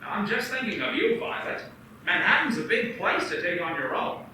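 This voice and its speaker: A man playing a bright-voiced small-town banker. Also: sits high, sing-song, normal speed, slight background noise, full character voice. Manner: teasing and friendly, then frantic. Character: earnest young man, kind banker, panics easily. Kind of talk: amateur radio play acting. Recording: echoey room from a distance.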